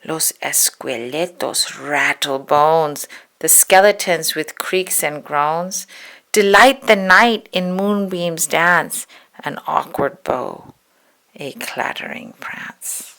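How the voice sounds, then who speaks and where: A woman reading a spooky children's poem aloud in a dramatic, hushed storytelling manner, stretching words and dropping into whispers. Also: low voice, slow pace. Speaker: storybook reader.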